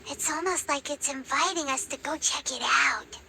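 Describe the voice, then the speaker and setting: A woman voicing a tiny, excitable, childlike fairy companion in a video game, squeaky and given to exclaiming. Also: moderate pace, gravelly. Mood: curious. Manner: exclamatory, refers to self by name. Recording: loud game noise behind voice.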